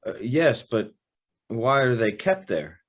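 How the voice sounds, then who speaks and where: An actor playing a polite, curious young house guest in a radio drama, speaking in a mild, tentative way. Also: male voice, mid-range voice, sing-song, takes it slowly. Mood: puzzled, uneasy. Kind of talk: old-time radio drama acting with narration.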